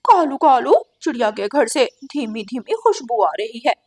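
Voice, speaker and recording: Woman as a cartoon crow wife, greedy, sly, wheedling, high-ish voice. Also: sing-song, normal speed, darkish tone. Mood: greedy, scheming. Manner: wheedling, nosy.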